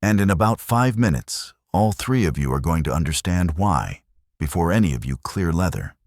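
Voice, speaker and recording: A man voicing a cold seventy-year-old gunfighter in a Western audio story, calmly and quietly threatening. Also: low voice, normal speed, dry recording, clean studio sound. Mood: calm menace.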